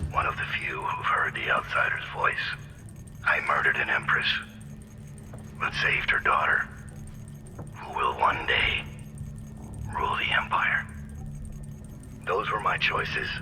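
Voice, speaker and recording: Deep gravelly aging assassin. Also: male voice, sharp tone, full character voice. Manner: brooding, deliberate. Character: grim aging male assassin, weary and reflective. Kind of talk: scripted game narration.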